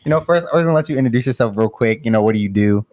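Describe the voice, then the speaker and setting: A man's voice: mid-range voice, lively intonation, rapid-fire, a little mellow. A man hosting a casual podcast interview, talking in a hesitant way full of fillers. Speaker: podcast host.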